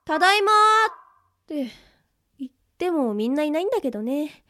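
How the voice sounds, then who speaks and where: A woman playing a bright, wistful nineteen-year-old village girl in a Japanese audio drama, cute and expressive. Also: high-pitched, moderate pace. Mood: chatty, bright.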